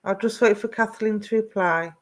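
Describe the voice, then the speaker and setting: A woman's voice: mid-range voice, steady tone, normal speed. Woman, psychic medium on a live stream, affectionate and chatty, addresses each viewer by name. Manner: affectionate, calls viewers "my darling"; greets and addresses viewers by name, asks if things make sense. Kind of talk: live online psychic reading.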